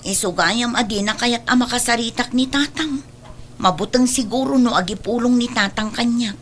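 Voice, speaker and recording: A woman's voice: fairly high pitch, normal speed, a bit bright, a little hiss or hum, natural voice. A woman playing a worried, dutiful young working daughter in an Ilocano audio drama, earnest and anxious, with an Ilocano accent. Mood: resigned, earnest.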